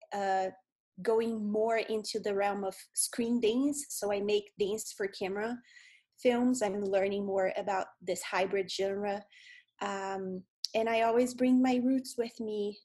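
Female podcast guest, Brazilian accent, thoughtful and measured, middle pitch. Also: a little mellow. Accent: Brazilian Portuguese. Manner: thoughtful, measured, frequent pauses. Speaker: guest, dance professor. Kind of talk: podcast interview.

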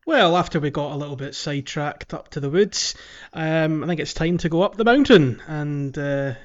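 A man's voice: fairly high pitch, moderate pace, highs cut off. A man co-hosting a podcast, talking through a video game casually and a little hesitantly. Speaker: podcast co-host.

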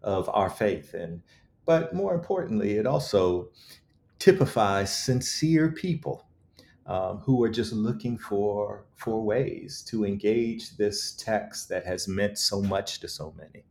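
Man, low voice, interviewed author, thoughtful delivery, earnest, articulate, rhetorical emphasis. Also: lively intonation, slow pace, gravelly, a little mellow. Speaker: author interviewed about his book.

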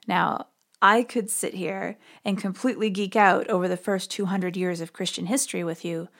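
A woman hosts a podcast in a conversational but scripted style. She explains religious material informally, with a middling pitch for a woman. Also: gravelly. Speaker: podcast host.

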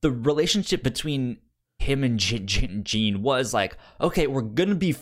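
A man chatting casually as a podcast host, halting and often repeating the starts of his words. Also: medium pitch, animated. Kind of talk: casual chat about comics.

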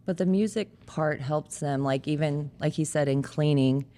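Deep-voiced American woman in reverberant room. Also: normal speed, dark tone. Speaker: parent sharing family testimony. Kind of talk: casual conversational testimony. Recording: long reverberant hall echo.

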